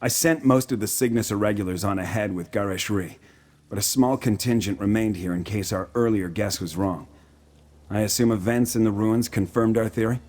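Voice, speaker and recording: A man playing an earnest, weary, fair-minded military commander in a video game, speaking in a measured, formal way. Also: lowish voice, darkish tone, lightly acted. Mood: resolute, sober. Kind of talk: video game character acting.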